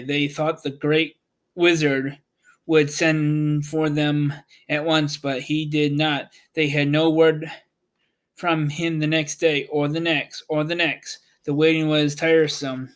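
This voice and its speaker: Slow-paced man narrating a storybook. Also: on the high side, flat delivery, fairly bright tone, clean tone. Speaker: audiobook narrator and host.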